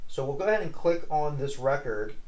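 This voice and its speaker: A man's voice: fairly high pitch, expressive, fairly quick, noisy background. A man in his forties giving an informal product demonstration, explaining step by step in a plain-spoken way. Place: small indoor office room, standing behind a demo table.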